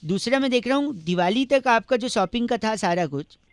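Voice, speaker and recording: A man's voice: very high-pitched, lively intonation, brisk, clean tone, no echo. A man making prank phone calls, mock-polite and teasing, laughing at his own jokes. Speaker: prank caller.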